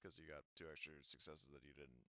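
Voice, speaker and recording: Bright-voiced man running a tabletop game. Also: extremely low pitch, steady tone, dry recording, clean studio sound. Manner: halting, informal, swears casually. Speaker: game master. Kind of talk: narrating a tabletop roleplaying game, casual.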